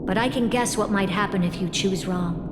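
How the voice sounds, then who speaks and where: A woman playing an aloof, all-knowing genius who gives grave counsel in a measured, cryptic way in a video game. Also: mid-range voice, noisy background, close to an everyday voice. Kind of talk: dramatic video game voice acting. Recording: very echoey long reverb, music behind.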